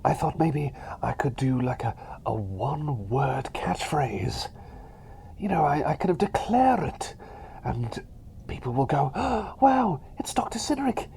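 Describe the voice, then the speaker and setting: A man playing a cheery, self-doubting plague doctor in an ASMR roleplay, whispering breathily and softly, with hesitant, repetitive rambling that seeks approval. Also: fairly high pitch, big pitch swings, measured pace, a little hiss or hum. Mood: eager, rambling. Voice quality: breathy whisper mixed with soft voice. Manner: hesitant, rambling, repeats phrases, eager for approval.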